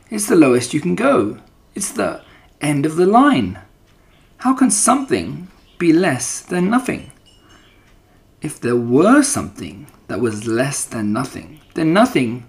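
A man's voice: a little high, voice pushed up, exaggerated voice. A man voicing a young, lonely male pig who talks in an earnest, pleading way in a storybook reading.

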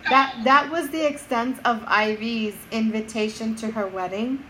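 A woman's voice: on the high side, unhurried, pushed and bright, slight background noise, low-quality recording. A woman co-hosting a podcast, telling a story in casual chat with friends and laughing often. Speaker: podcast co-host and notary.

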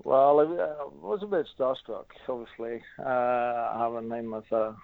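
A middle-aged man from Norway with a Norwegian accent, a former footballer answering interview questions in a halting way with many fillers. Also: mid-range voice, creaky, no room sound. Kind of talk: casual interview. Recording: tinny phone line.

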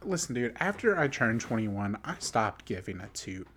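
A man in his twenties co-hosting a sports podcast, his pitch swinging widely as he works himself up. He rants in exasperated bursts, full of fillers and restarts, half joking and half sincere. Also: sing-song, moderate pace, a bit bright, a little hiss or hum. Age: 20s. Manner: heated, exasperated ranting with lots of "like" fillers. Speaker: podcast co-host. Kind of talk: casual sports chat.

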